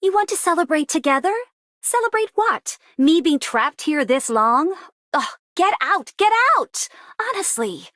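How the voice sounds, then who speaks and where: A woman's voice: sits high, expressive, unhurried, a bit bright, dry recording, clean studio sound. A woman voicing a grumpy, reclusive young artist in a video game, brusque and exasperated. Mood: annoyed. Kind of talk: video game character voice lines.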